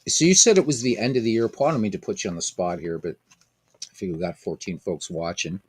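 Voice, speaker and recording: A man's voice: low-pitched, fast talker, husky. A man hosting a casual live stream of a baseball game, rambling, repeating himself and chatting with viewers by name.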